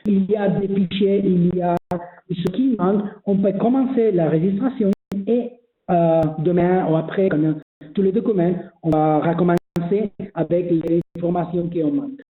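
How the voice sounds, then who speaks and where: A man's voice: high-pitched, steady tone, moderate pace. A man who is not a native French speaker, presenting a webinar and explaining online registration, hesitant with many fillers and restarts. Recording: echoey phone-like webinar audio.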